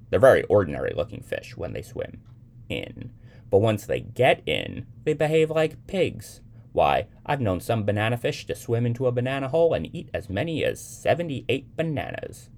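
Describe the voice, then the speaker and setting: A man reads as a playful, odd young man in a literary story. He speaks with mock gravity and animated pitch, in a low, creaky voice. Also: normal speed. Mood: mock-serious. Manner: whimsical, mock-serious storytelling. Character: playful, eccentric young man teasing a child, edgy with adults.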